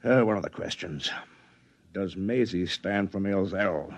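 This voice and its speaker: An actor playing a fat, greasy, bullying boss in an old-time radio drama, gruff and smug. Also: male voice, sits low, measured pace. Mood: impatient, bossy. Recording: vintage radio recording.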